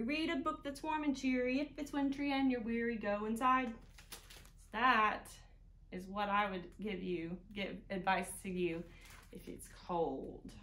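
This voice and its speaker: Young woman with a high storytime voice. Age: young adult. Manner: child-directed, encouraging participation. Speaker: children's librarian reading storytime. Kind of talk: picture-book storytime read-aloud, partly sing-song. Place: small indoor room on a couch. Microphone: camera a few feet away. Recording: echoey room, some background noise.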